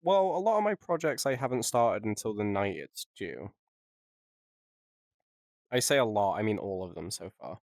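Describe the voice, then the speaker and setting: A young man with a lowish voice trades self-mocking jokes on a podcast, laughing often as he talks. The sound is dry and close, with no room around it. His delivery is loose and casual. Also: expressive, moderate pace, gravelly, long pauses, a little mellow, dry recording. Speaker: podcast co-host. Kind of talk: casual chat.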